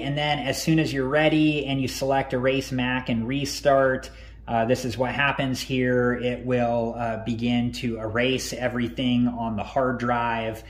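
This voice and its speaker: A man hosting a tech tutorial, calmly talking through each step on screen with frequent ums and uhs. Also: medium pitch. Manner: relaxed, many ums and uhs.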